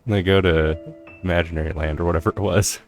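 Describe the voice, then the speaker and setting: A man's voice: low-pitched, steady tone, fast, soft background music. A man giving casual, laid-back let's-play commentary as a video game streamer.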